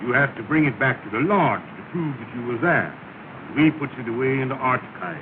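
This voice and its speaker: A man's voice: mid-range voice, fairly quick, close to an everyday voice. An actor playing a pompous, bossy male lodge leader in a 1930s film comedy, speaking in a grand, ceremonious way. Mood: solemn. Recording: tinny, hissy 1930 film soundtrack.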